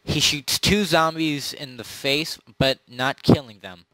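A man improvising casual narration as game master of a role-play, often halting and correcting himself. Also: a little high, fairly bright tone, no room sound, low-quality recording.

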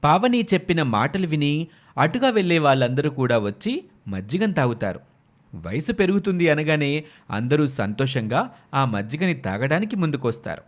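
Animated, higher-pitched male storyteller. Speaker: story narrator. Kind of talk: scripted dramatic storytelling narration.

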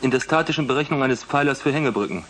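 A man's voice: mid-range voice, quick pace, fairly bright tone, slight background noise, close to an everyday voice. Man playing a sober engineering student. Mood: businesslike. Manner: sober, technical. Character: matter-of-fact male engineering student asking for help. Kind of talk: German radio play acting.

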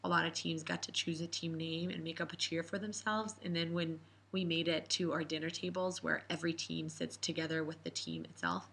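A woman in her twenties from Israel, a camp coach, calmly telling a story in an interview. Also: low voice, a little fast, vocal fry, few pauses, husky. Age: 20s. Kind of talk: reflective interview answers. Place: indoor room with posters.

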